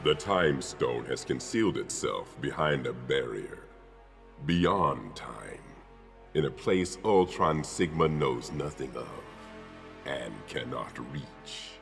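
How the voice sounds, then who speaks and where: An actor playing a grave, commanding figure in a game cutscene, speaking solemnly and formally in measured phrases. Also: male voice, rumbling low, lively intonation, heavily acted. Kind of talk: dramatic scripted game cutscene. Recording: game audio with clear music behind.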